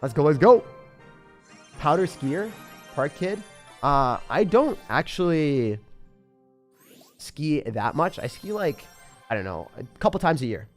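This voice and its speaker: A young man from the Boston area streaming a game live, chatting with viewers, excitable and laughing often. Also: fairly high pitch, unhurried, pauses often, soft background music. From Boston area, United States. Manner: excitable, laughs often, reads chat questions aloud. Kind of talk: live-stream gameplay commentary.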